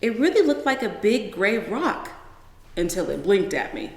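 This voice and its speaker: A woman's voice: mid-range voice, very animated, normal speed. A woman voicing an anxious, bossy young mother who urgently orders and pleads with her son in a storybook reading. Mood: shuddering, baffled. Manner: urgent, insistent, commanding. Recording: echoey, reverberant room.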